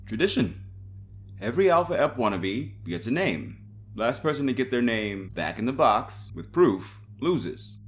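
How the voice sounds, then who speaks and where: Man acting insistent young frat guy, swinging pitch. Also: sing-song, measured pace, slight background noise. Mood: cold, controlled. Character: menacing young fraternity brother, defensive and insistent. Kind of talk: dramatised horror audio story.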